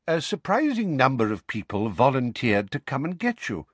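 A man plays a measured, polite wizard mentor from a storybook. The voice sits a little raised and soft-edged with husk, explaining things calmly. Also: mid-range voice, breathy edge, no room sound, pitched above the performer's own voice. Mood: calm, reassuring. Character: mild, courteous, measured adult male wizard mentor.

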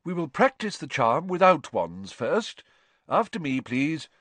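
Cheerful patient professor, raised lively voice, dry close recording, a man doing him. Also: on the high side, unhurried, no echo, pitched above the performer's own voice, exaggerated voice. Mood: cheerful teacherly. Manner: patient, encouraging, gently theatrical. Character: kindly, cheerful male professor, adult teacher. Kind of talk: audiobook character voice.

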